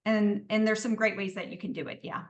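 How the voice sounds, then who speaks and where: A woman's voice: medium pitch, fairly even intonation, a little fast, gravelly, roomy sound, muffled recording. A woman, a podcast guest, talking at length in a casual interview. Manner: talkative, explains at length.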